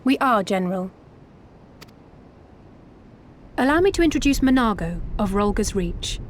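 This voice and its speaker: A woman's voice: mid-range voice, very animated, fairly quick, a little hiss or hum, natural voice. An actress playing a composed, diplomatic female soldier in a fantasy game, speaking formally. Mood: courteous. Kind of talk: scripted fantasy game acting.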